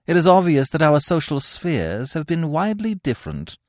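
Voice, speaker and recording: A man's voice: a little high, wildly swooping pitch, normal speed, darkish tone, no echo, clean studio sound, pitched above the performer's own voice. A man putting on a haughty young society lady. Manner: haughty, satirical, affectedly polite.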